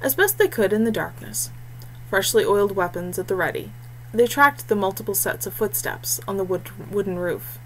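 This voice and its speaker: Female audiobook narrator, mid pitch, scripted fantasy storytelling, clear background noise and hum. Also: animated, moderate pace, poor audio.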